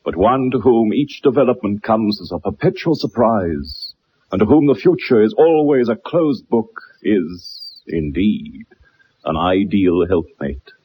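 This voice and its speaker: A brilliant gentleman detective in a radio play. He lectures in formal, methodical phrases with a very lively, swooping delivery and a slightly husky edge. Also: lowish voice, a little slow, full character voice. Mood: wry, wistful. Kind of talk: radio drama acting.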